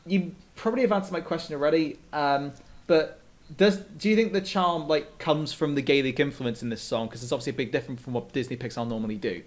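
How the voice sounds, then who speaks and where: A man's voice: a little high, fast. A man co-hosting a music show, discussing songs in a casual, conversational way.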